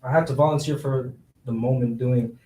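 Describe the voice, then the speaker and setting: A man's voice: mid-range voice, steady tone, normal speed, warm tone, slight background noise, lightly acted. A man in a staged play reading playing a cheeky, joking man who is out of work. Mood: dry, teasing. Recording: echoey room, far from mic.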